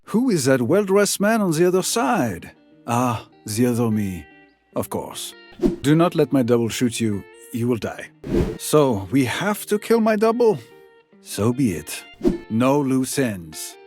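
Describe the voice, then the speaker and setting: A man acting a suave, cold-blooded gentleman assassin in a game, smug and politely menacing. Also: medium pitch, sing-song, measured pace, faint music behind. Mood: smug, resigned.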